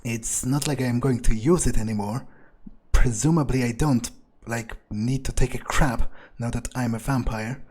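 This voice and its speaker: Casting: man, husky mid-to-low voice, rambling in-character game player, musing aloud with crude asides. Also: steady tone, moderate pace, a little mellow. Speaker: gaming video creator playing in character. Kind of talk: improvised in-character game commentary.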